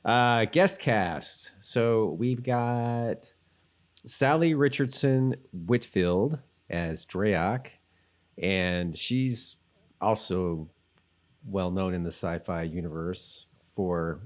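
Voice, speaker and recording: A man's voice: fairly low pitch, drawn-out words. A man co-hosting a casual podcast chat, often trailing off and rephrasing mid-thought. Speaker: podcast co-host.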